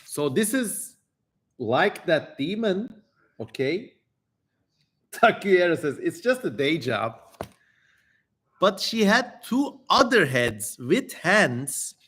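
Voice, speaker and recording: A man's voice: sits high, sing-song, slow, pauses often, a bit bright, slightly rough. A man hosting a casual livestream, reading aloud and commenting in a wry, playfully theatrical way. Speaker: livestream host.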